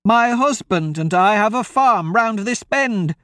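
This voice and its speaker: A man voicing a frightened old farm woman who pleads in a shrill, breathless way. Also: lively intonation, unhurried, a bit bright, no echo, studio quality. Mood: frightened pleading.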